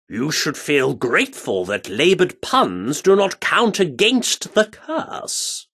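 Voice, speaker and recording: An actor playing a grandiloquent, self-important male theatre director in a video game, speaking in archaic flourishes with dramatic pauses. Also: on the high side, vocal fry, bright, forceful tone, full character voice.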